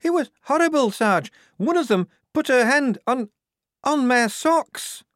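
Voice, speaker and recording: Guy putting on a scared timid little recruit, really high anxious voice, audiobook, dry sound. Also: lively intonation, measured pace, a bit bright, no echo, exaggerated voice. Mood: horrified, faltering. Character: timid, horrified young recruit, anxious and squeamish.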